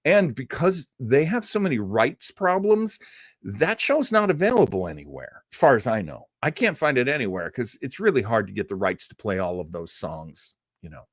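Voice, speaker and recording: A man hosts a casual live stream with a very animated, mid-pitched voice. He rambles informally through asides and replies to his viewers. The sound is dry and close, with no room around it. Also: normal speed, dry recording. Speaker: host of a live-streamed radio nostalgia show. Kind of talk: casual chat, reading out and answering live viewer comments.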